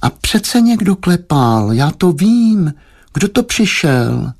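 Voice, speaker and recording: A man's voice: big pitch swings, gravelly, darkish tone, heavily acted. A man voicing an anxious, doting wife and mother in an audiobook, moaning and fretful, full of insistent questions. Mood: drowsy insistent. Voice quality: high, plaintive, moaning.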